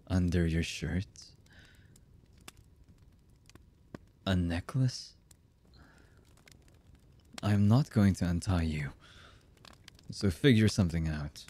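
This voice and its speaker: An actor playing a brooding, distrustful young anime villain in a boyfriend ASMR roleplay, with a husky, breathy voice and halting, hushed delivery. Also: male voice, deep voice. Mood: agitated, distrustful. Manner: slow, halting, hushed delivery with long pauses.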